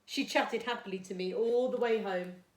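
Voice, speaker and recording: A woman's voice: mid-range voice, moderate pace, natural voice. A woman voicing a glum, resigned working-class father who is out of work, in a storybook. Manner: glum, resigned, plain-spoken. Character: weary, downhearted jobless working-class father. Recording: echoey, roomy sound.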